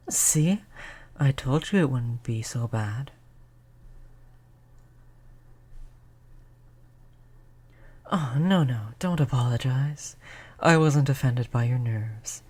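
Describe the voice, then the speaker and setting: A performer voicing a gentle, polite vampire in an intimate roleplay audio, speaking in a soothing, conversational way at a medium pitch. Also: slightly rough. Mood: pleased, soothing. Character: gentle, reassuring vampire, eighty years old, eternally polite. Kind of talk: roleplay audio drama, intimate one-on-one talk to listener.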